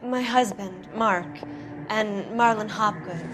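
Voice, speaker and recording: A woman's voice: mid-range voice, slow pace, noisy background, natural voice. A woman playing a flustered, evasive producer's wife. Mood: defensive. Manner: soft-spoken, flustered and defensive. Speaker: witness questioned by police. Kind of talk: 1940s-style game cutscene acting.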